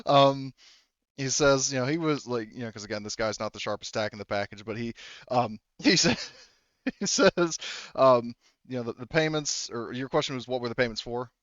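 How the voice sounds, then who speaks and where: A man's voice: medium pitch, moderate pace, fairly bright tone, no room sound, dull audio. A man working as a game master, narrating a tabletop game casually in an informal, profane, rambling way.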